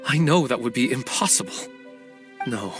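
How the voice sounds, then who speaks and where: A calculating, brooding man of letters bent on revenge, played by an actor in a video game drama. His voice sits at a medium pitch, deliberate and persuasive. Soft music runs underneath. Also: animated, lightly acted. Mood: intense, persuasive. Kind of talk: dramatic game cutscene acting. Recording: faint music behind the voice.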